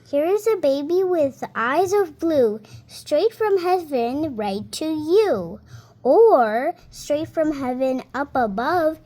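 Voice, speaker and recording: Young girl, around seven, high voice, slow and careful storybook read-aloud. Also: lively intonation, warm tone. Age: child, about seven. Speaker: young reader.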